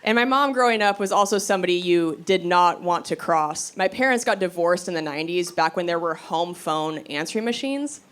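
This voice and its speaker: A woman performing stand-up comedy, telling stories in an animated, conversational way and acting out quotes. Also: lowish voice, fairly quick, hardly any pauses. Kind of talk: stand-up comedy storytelling to a live audience. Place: live comedy venue on stage. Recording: live crowd laughter, noisy stage recording. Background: audience laughter.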